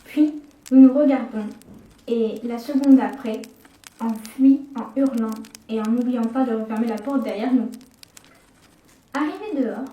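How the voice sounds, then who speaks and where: A young woman narrating a first-person horror story, slowing down at the scary moments. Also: fairly high pitch, dark tone. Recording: echoey room, distant from the mic.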